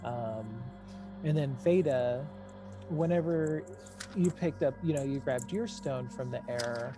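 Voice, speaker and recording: A game master improvising tabletop narration in a halting, conversational way, speaking to the players directly. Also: medium pitch. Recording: music playing behind the voice. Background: music.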